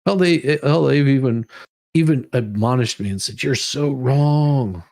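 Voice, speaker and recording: A man hosting a casual livestream, chatting to viewers in a rambling, halting way that often repeats words. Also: medium pitch, vocal fry, darkish tone, no room sound, studio quality. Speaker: livestream host. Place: room at home.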